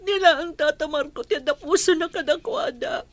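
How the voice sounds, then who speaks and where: A woman's voice: high-pitched, normal speed, dry recording, close to an everyday voice. A woman acting an anguished mother of a sick child in an Ilocano radio drama, pleading and tearful.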